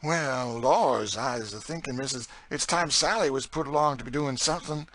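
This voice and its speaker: A man's voice: medium pitch, moderate pace, a bit bright, pitched above the performer's own voice, close to an everyday voice. A man voicing an eager, motherly enslaved woman cook in an audiobook, with a folksy dialect and exclamatory delivery. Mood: coaxing. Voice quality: folksy dialect speech with dropped sounds. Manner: exclamatory, emphatic. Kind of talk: audiobook character dialogue.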